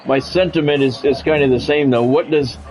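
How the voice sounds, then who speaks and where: A man's voice: on the high side, fairly even intonation, a little mellow, a little hiss or hum. Man streaming casual football game commentary. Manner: halting, repeats words and phrases; blunt, casual swearing. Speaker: video game live streamer. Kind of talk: casual chat mixed with football play-by-play.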